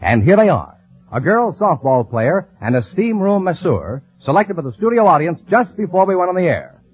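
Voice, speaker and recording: A man's voice: sits high, sing-song, moderate pace, husky, no room sound, faint music behind. An American man doing 1940s radio announcing in a scripted, salesmanlike style. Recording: dull, dry 1940s radio recording.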